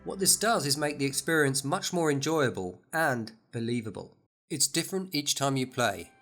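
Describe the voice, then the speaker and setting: A man's voice: medium pitch, expressive, normal speed, a bit bright, faint music behind. A man narrating a scripted product overview in an enthusiastic, explanatory way.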